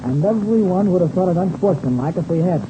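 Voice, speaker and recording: An actor playing an eager, honest young pilot, boyish and earnest, in 1930s radio serial style. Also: male voice, high-pitched, animated, fairly quick. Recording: noisy muffled old radio recording.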